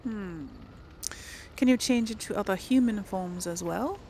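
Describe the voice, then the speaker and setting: A woman's voice: medium pitch, measured pace, dark tone, slight background noise. A woman doing casual let's play commentary, thinking aloud in an informal, hesitant way. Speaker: gamer, let's play host.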